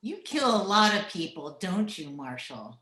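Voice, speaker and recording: A spiteful old frontier widow, acted by a woman, heard in a roomy space. She drawls slowly in rustic phrases, with taunts that swing widely in pitch. The character is cold, bitter and mocking, straight out of a radio western. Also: very animated, sharp tone, full character voice. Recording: roomy sound, recorded over internet call.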